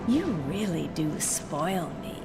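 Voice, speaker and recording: A woman's voice: low-pitched, expressive, slow pace, vocal fry, over loud music, full character voice. A woman playing a commanding queen in a video game, formal and stately in her speech. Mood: regal, resolute. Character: regal, commanding queen of a superhero royal family.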